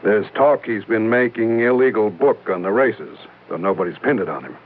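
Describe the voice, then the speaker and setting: An American actor playing a worried, suspicious insurance office manager in a 1950s radio drama, speaking in an earnest, confiding way. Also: male voice, on the low side, lively intonation, moderate pace, phone-quality sound, slight background noise, natural voice. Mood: uneasy, suspicious. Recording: thin band-limited old radio broadcast.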